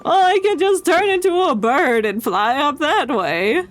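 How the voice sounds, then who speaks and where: A woman's voice: high-pitched, animated, unhurried. A woman streaming a game with casual, playful, rambling commentary that often halts. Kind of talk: casual joking gameplay commentary. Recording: game music faintly behind.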